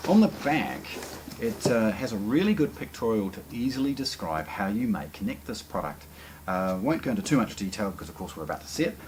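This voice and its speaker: Low-pitched New man presenting a product. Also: background noise. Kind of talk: unscripted unboxing commentary.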